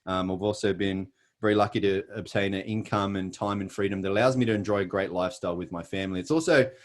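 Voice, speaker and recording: A middle-aged man with an Australian accent, speaking in a lowish voice. He tells his story earnestly and emphatically, lightening it with self-deprecating jokes, over a video call. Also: steady tone, quick pace. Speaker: presenter. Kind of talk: scripted motivational presentation.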